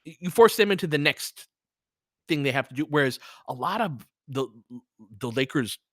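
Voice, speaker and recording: A man's voice: a little high, lively intonation, moderate pace, a bit bright, no echo, clean studio sound. A man giving informal basketball play-by-play and analysis, conversational and opinionated, with some mild swearing. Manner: conversational, opinionated, mildly profane. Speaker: sports commentator, host.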